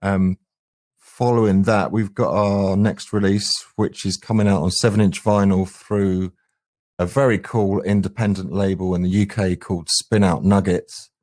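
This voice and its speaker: A man's voice: low voice, flat delivery, normal speed, a little mellow. A man chatting earnestly and enthusiastically as an interview guest from a band. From England, UK. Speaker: band singer and guitarist, guest. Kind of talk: casual interview chat.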